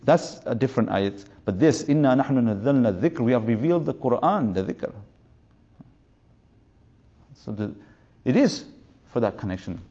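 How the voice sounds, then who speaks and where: A man's voice: mid-range voice, animated, racing, long pauses, mellow, lo-fi sound. A man of fifty giving an informal religious lecture as a teacher, rambling through anecdotes and mixing in Arabic and Urdu terms. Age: 50s.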